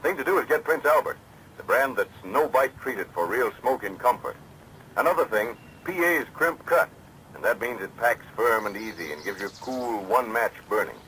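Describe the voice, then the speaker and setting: Bright-voiced American man pitching on old radio. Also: expressive, moderate pace. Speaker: radio commercial announcer. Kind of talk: 1940s radio advertising read. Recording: noisy old 1940s radio recording.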